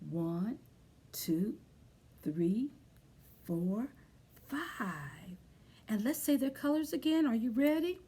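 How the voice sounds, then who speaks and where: High-voiced, slow-paced woman hosting children's storytime. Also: expressive, mellow, husky. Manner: encouraging, sing-song, addressing young children. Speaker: children's library storytime host. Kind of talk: children's storytime with rhymes, songs and craft talk.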